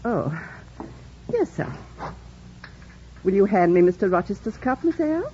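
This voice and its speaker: A woman's voice: mid-range voice, big pitch swings, measured pace, dark tone. A woman playing a kindly, fussy older housekeeper in an old radio drama, chatty, effusive and deferential. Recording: dull, slightly noisy vintage radio broadcast.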